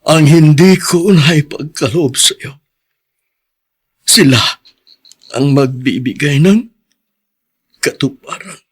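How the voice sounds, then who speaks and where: An actor playing a grave, remorseful ex-convict father in a Filipino radio drama, speaking slowly and solemnly in a husky voice. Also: a little high. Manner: slow, solemn, pleading.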